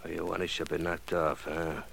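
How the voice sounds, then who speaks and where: A man's voice: low-pitched, flat delivery, vocal fry, a bit bright, slight background noise. A man playing a sly, easygoing hit man with slangy, mangled grammar in a 1940s radio crime drama. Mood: cagey, menacing. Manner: streetwise mangled grammar, drawn-out hesitations. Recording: old 1940s radio recording.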